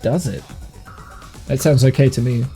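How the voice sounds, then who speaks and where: A man chatting casually on a gaming livestream, thinking aloud in a rambling way, with a slightly croaky, sniffly voice. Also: mid-range voice, normal speed, warm tone, soft background music. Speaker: live streamer and game master. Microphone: streaming mic monitored through headphones.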